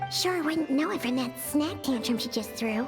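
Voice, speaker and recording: A woman's voice: high voice, expressive, moderate pace, over music. A woman voicing a tiny, chirpy, curious and cheeky fairy-like companion in a video game, perky and exclamatory.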